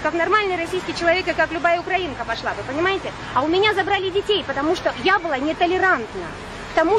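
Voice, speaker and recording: A woman in her forties, from Ukraine and raised in Russia, pleads her case at an outdoor picket in a high, insistent voice. She keeps pausing on long "uh" fillers. Traffic and street noise run loudly underneath. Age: 40s. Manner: urgent, insistent, pausing often on drawn-out "uh" fillers. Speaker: protester at a picket. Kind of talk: impassioned street speech.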